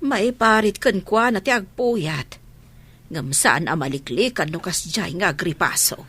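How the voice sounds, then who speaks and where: A woman's voice: fairly low pitch, expressive, measured pace, fairly bright tone, breathy edge, heavily acted. A woman acting in a radio drama as a stern but caring old grandmother. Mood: worried.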